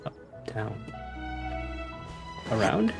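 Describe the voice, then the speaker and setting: Gravelly man streaming a video game. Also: on the low side, sing-song, drawn-out words. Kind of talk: casual gameplay commentary, reads game text aloud. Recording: faint game music behind. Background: game music.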